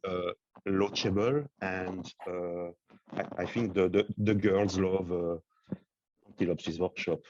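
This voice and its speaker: A man's voice: low voice, steady tone, unhurried, a little mellow, highs cut off, a little hiss or hum. A man with a French accent, sick with a cough, chatting playfully in broken English on a video call. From France. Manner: playful, chanting and sing-song, broken English. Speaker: community member. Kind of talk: casual video call chat.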